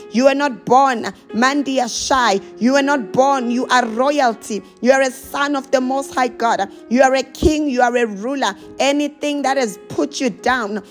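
A woman preacher delivering a charismatic sermon and prayer, exhorting with insistent short repeated phrases and breaking into tongues. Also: sits high.

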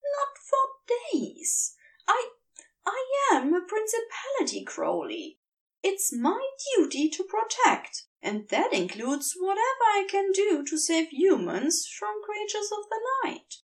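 A woman voicing a fussy, earnest male angel who speaks softly and hesitantly, prim and a little haughty. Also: extremely high pitch, very animated, slow, pitched above the performer's own voice, full character voice. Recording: distant mic.

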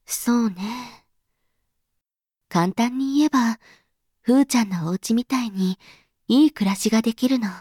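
A woman playing a gentle, patient mother explaining things to her child in a Japanese audio drama, reassuring and soft-spoken. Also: fairly high pitch, unhurried, long pauses, breathy edge, no room sound, studio quality.

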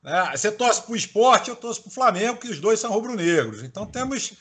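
A man's voice: high-pitched, very animated, fairly quick, a bit bright. A man from Salvador, a university professor co-hosting, who talks casually and hesitates a lot. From Salvador, Bahia, Brazil. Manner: hesitant, many fillers. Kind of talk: casual interview chat.

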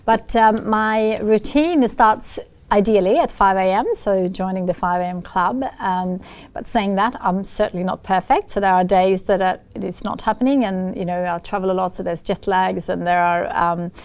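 Gravelly Swedish-accented woman, hesitant. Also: mid-range voice, steady tone, moderate pace, darkish tone. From Sweden. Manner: hesitant, many fillers and restarts. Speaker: guest, business founder. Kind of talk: casual interview talk.

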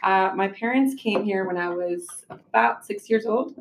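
A woman's voice: medium pitch, moderate pace, slight background noise. A woman from Mexico, a podcast guest who talks eagerly and rambles, and laughs easily. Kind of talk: casual interview conversation. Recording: echoey room.

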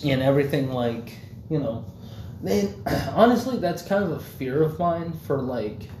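A man's voice: fairly high pitch, lively intonation, a little slow, a little mellow. Rambling, swearing young man in an echoey room. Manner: rambling, swears often, many fillers. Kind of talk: casual chat. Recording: echoey room, faint background noise.

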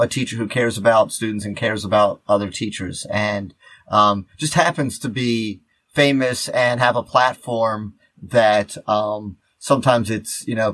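A man's voice: mid-range voice, a bit bright. A man co-hosting a podcast in casual chat, joking and rambling as he talks. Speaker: podcast co-host.

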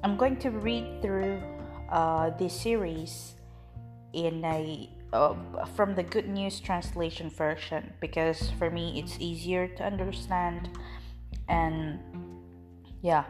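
Woman, native Indonesian speaker, informal Bible reader, low-ish voice, faint background music. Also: fairly even intonation. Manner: unhurried, with frequent fillers and restarts. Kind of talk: informal Bible reading and introduction.

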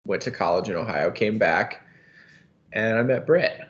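A man's voice: lowish voice, normal speed. An American man in his thirties bantering casually as a podcast guest, joking and teasing as he talks. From United States. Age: 30s. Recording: slightly dull, muffled sound.

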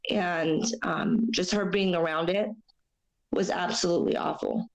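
A young woman with a raspy, hoarse voice from a chest cold, sharing her story as an interview guest in a halting, earnest way with many fillers. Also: lowish voice, fairly even intonation, poor audio. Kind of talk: personal testimony in a podcast interview.

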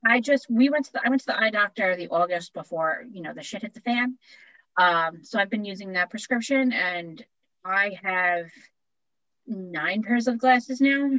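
A woman's voice: medium pitch, lively intonation, normal speed, fairly bright tone, no echo, muffled recording. A woman in her forties with a Long Island accent, co-hosting a podcast in casual chat, laughing often and restarting her sentences. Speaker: podcast co-host.

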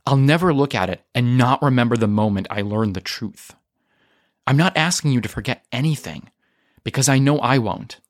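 A man's voice: medium pitch, moderate pace, no echo, studio quality, natural voice. A man voicing an earnest young man, a loyal and conciliatory brother who speaks pleadingly, in a story reading.

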